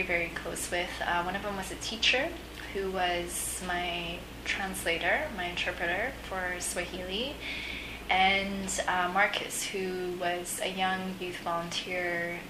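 A woman answering interview questions in a conversational way as a guest. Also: on the low side. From Canada. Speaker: interview guest, returned overseas volunteer. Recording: echoey room, clear background noise.

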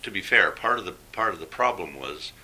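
An older man talking about his past work in a relaxed, reflective conversational interview. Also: deep voice, a little fast, slight background noise, poor audio.

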